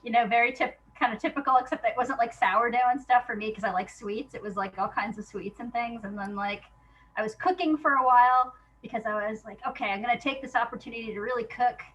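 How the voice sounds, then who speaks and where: A woman's voice: fairly high pitch, fast talker, a bit bright, lo-fi sound. A woman talking casually in an interview, chatty and self-deprecating in an informal way. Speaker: playwright being interviewed.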